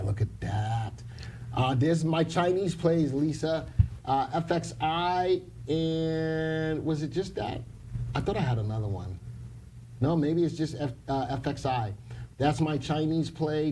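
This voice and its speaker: Deep mellow male co-host, joking and self-mocking, lapel mic with faint room hiss. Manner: wisecracking, rambling, self-mocking. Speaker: co-host, market instructor. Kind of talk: casual live market chat.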